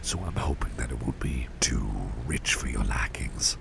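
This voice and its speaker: A man playing a doting, protective businessman boss in an ASMR roleplay, murmuring in a gentle, courteous, breathy whisper with unhurried, reassuring repetition. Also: extremely low pitch, full character voice. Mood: caring, attentive. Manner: gentle, unhurried, hesitant pauses, repeats phrases reassuringly. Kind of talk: ASMR roleplay, close personal attention. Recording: audible steady background noise.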